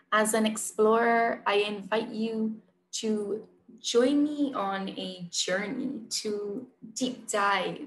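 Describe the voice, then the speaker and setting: A woman's voice: mid-range voice, gravelly. A woman, giving an earnest, carefully phrased prepared presentation. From Barbados. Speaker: conservationist and presenter. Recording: roomy echo, light background noise.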